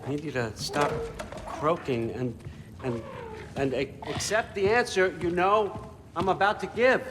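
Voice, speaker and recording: A man's voice: fairly high pitch, measured pace, background noise, natural voice. An older man playing a scheming, folksy, testy Allfather god in a video game, with casual, domineering patter. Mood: irritated. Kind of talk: video game cutscene acting. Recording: long hall reverb under clear music.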